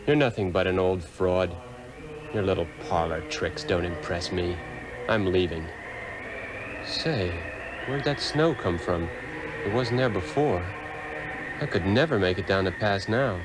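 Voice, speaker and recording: An actor playing an arrogant, bitter surgeon in an old radio drama, haughty and dismissive. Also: male voice, low-pitched, measured pace, long pauses, music in the background, rough recording. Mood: scornful. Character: arrogant, bitter male surgeon, cold and scornful. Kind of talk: 1960s radio drama acting.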